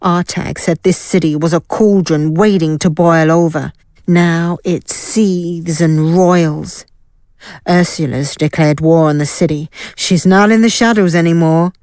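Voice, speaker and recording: A woman's voice: lowish voice, steady tone, unhurried, darkish tone, no room sound, studio quality, lightly acted. A woman playing an assured, wry guild fighter in a fantasy audio drama. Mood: resolute. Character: Ilaeda, confident wry female guild fighter. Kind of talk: scripted audio drama acting.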